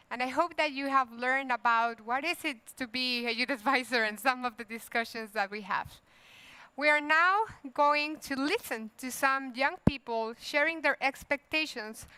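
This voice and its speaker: A woman's voice: sits high, pushed and bright, smooth, no room sound. A woman chairing a virtual youth forum, speaking in a polite, welcoming way.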